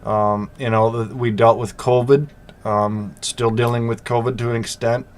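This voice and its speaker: A man's voice: medium pitch, level tone, moderate pace. Man talking freight with a sore throat. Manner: conversational, many fillers, reassuring asides. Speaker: freight business channel host. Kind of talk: casual talk to camera.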